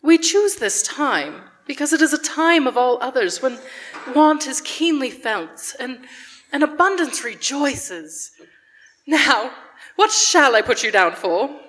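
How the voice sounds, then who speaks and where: An earnest, genteel charity lady in a radio play. Her high voice swings expressively and carries brightly through an echoey hall. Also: sing-song, takes it slowly, full character voice. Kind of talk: live radio-play acting. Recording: live in echoey church hall.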